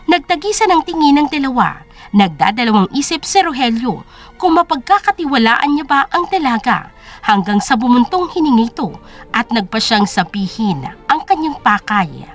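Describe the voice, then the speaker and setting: High, animated female story narrator. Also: moderate pace, soft background music. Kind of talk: scripted dramatic narration.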